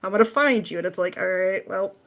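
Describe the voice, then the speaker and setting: A woman's voice: mid-range voice, brisk, fairly bright tone. A woman answering questions as a guest in a casual interview, informal and dryly self-deprecating.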